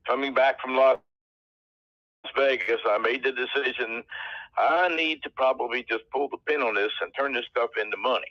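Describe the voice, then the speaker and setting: An American man speaks over a tinny, muffled phone line from his office, telling stories in a folksy, joking way. His voice sits at an ordinary middle pitch. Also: normal speed, dry recording. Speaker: guest. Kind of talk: casual phone interview.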